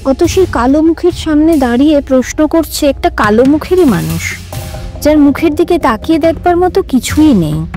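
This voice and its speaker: A woman reading a literary story aloud with dramatic, measured, expressive narration over music. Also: high-pitched. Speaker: story reader. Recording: music under the voice.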